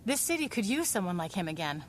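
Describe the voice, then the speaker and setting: Woman acting as a loyal ally, gentle, supportive, lively pitch, faint hiss. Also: fast talker, lightly acted. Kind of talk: audio drama acting.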